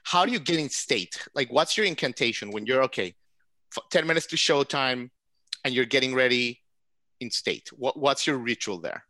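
A man's voice: mid-range voice, animated, normal speed, bright, forceful tone, no room sound. A man hosting an interview show, chatty and enthusiastic, often restarting his sentences. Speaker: podcast host. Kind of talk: casual interview chat.

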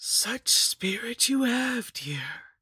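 A woman voicing a cruel undead female lich sorceress, rasping and mocking, sliding into breathy whispers. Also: on the high side, sing-song, drawn-out words, pushed and bright, no echo, studio quality, pitched above the performer's own voice, heavily acted. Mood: menacing. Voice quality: rasping, cackling.

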